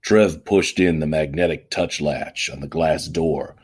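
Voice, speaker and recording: Very deep-voiced male noir narrator. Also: unhurried, noisy background. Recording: music under the voice.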